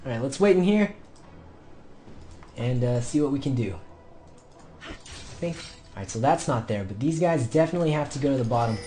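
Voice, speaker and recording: A young man commentating his own online match, shouting through the fights and calm in between, his voice going hoarse. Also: medium pitch, brisk, long pauses. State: losing his voice. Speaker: gameplay commentator. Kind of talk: excited gameplay commentary.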